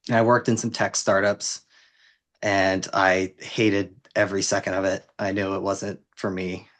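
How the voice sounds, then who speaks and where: A man's voice: low voice, flat delivery, a little slow, a bit bright. A man in his thirties from the US Pacific Northwest, a guest on a podcast, talking with self-deprecating humor. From Vancouver, Washington, US. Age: 30s. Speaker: interview guest, city housing worker. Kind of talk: conversational interview.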